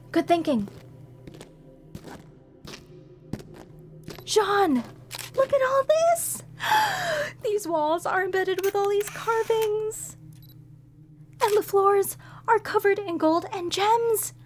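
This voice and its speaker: A high, lilting young curator, sweet and easily amazed, as a woman plays her. Her unhurried voice swoops up and down in an audio drama, over faint music. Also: a little hiss or hum, heavily acted. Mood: excited. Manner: wide-eyed, excitable, gasping in wonder. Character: sweet, eager, airheaded woman museum curator.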